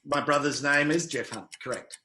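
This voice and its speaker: Bright-voiced New Zealand man, dry answers. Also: mid-range voice, normal speed, slight background noise. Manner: dry, short good-humoured answers with hesitant fillers. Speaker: interview guest, travel sales manager. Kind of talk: casual interview answers.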